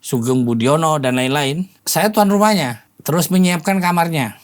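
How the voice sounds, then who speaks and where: A man's voice: fairly high pitch, animated, moderate pace. A man in his forties chatting casually as a guest, telling stories in an interview. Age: 40s. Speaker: podcast guest, political volunteer and former journalist.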